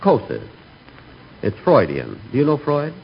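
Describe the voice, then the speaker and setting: A man's voice: medium pitch, huge pitch swings, measured pace, gravelly, exaggerated voice. A man acting a timid, neurotic and comically vain storyteller in 1940s radio comedy. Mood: nervous. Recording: muffled, hissy 1940s radio recording.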